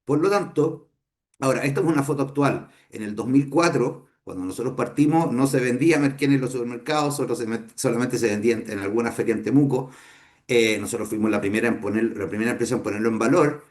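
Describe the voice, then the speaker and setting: A middle-aged Chilean businessman teaching a class, speaking Spanish with a Chilean accent and often pausing with fillers and restarts. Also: mid-range voice, a little mellow. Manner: unhurried, thinking aloud with many fillers and restarts. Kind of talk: lecture to students.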